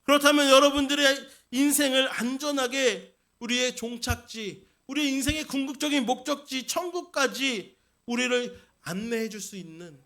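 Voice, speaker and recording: A man's voice: extremely high pitch, pushed and bright. A man preaching a Sunday sermon as senior pastor, putting questions to the congregation and repeating key points for emphasis. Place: church service.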